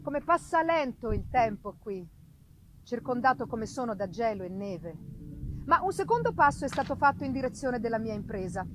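High-voiced woman, theatrical dramatic reader. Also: very animated, fairly bright tone, a little hiss or hum, poor audio. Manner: theatrical, emphatic, deliberate phrasing with dramatic pauses. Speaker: reader performing a literary audio reading. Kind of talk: dramatic literary reading of a gothic novel's letters, with live music. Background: live musical accompaniment.

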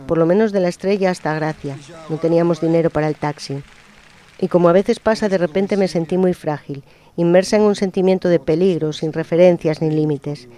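A man on a radio program, reading a personal letter aloud in a slow, intimate, reflective way. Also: a little high. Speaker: radio presenter. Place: radio studio. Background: soft guitar music.

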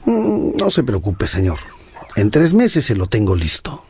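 A man's voice: on the low side, huge pitch swings, measured pace, a little mellow, breathy edge, heavily acted. A man acting a deferential but confident rural gamecock trainer in a radio drama. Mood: obliging, assured. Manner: obliging, assured.

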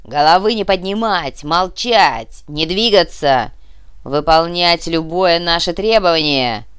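A woman voicing a ruthless male terrorist leader in an audiobook, giving loud, deliberate orders with cold menace. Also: low voice, expressive, clean tone. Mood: barking orders. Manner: loud barked orders, drawn-out deliberate phrasing. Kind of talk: audiobook character acting.

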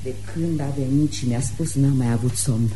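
A woman's voice: very deep voice, mellow, a little hiss or hum, low-quality recording. An actress in a radio play playing a brash, meddling middle-aged mother with a domineering, scolding manner. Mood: coaxing, bossy. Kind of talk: radio theatre acting.

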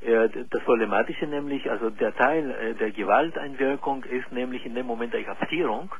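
Halting gravelly Chilean man on a call-in line. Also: mid-range voice, muffled recording, a little hiss or hum. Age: 40s. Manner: hesitant, halting with many fillers. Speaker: caller. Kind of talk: call-in radio conversation.

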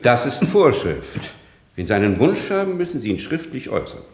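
An actor playing a patronizing, authoritarian prison director in a German radio play, with an officious, emphatic manner. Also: male voice, mid-range voice, very animated, fairly quick, close to an everyday voice. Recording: echoey 1960s radio play studio.

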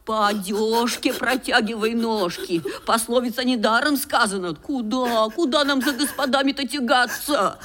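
A woman's voice: fairly high pitch, moderate pace, a bit bright, natural voice. An actress playing a coarse, uneducated older stepmother in a radio play, scolding with sarcastic, wailing folksy nagging. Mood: scolding, sneering. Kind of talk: Russian radio play acting.

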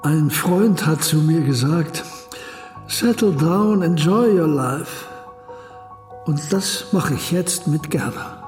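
An actor playing an elderly retired Chinese scholar in a radio drama monologue, speaking in a measured, reflective and contented way. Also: male voice, fairly high pitch, expressive, darkish tone. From China. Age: 80s. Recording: roomy echo, music behind.

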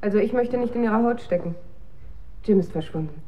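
A woman's voice: medium pitch, a little fast, lo-fi sound, natural voice. A woman playing an anxious, questioning colleague of a scientist in a radio drama, uneasy and searching. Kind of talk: German radio drama acting.

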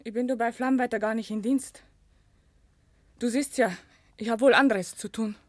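A woman's voice: on the high side, steady tone, moderate pace, no room sound, clean studio sound, natural voice. An actress playing an earnest, plain-spoken young village working woman with a Silesian accent in a German radio play. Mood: curt, defiant.

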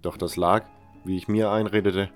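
A man reading scripted first-person narration for a fan fantasy audio drama in a measured, sober storytelling manner. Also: low-pitched, little pitch movement, faint music behind.

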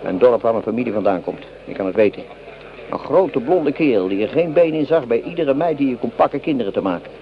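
Male actor as coarse, sneering infantry grumbler, hungry and bitter, very animated delivery, dull vintage radio-play sound. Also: mid-range voice, fast talker, close to an everyday voice. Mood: bitter scorn. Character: bitter grumbling male French common soldier, hungry and mocking. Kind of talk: Dutch radio drama acting.

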